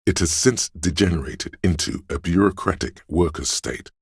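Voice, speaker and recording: A man voicing a learned inner voice in a video game, reciting obscure facts in a measured, lecturing, gravelly way. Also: low voice, dry recording, studio quality. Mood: measured, erudite. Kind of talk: video game scripted narration.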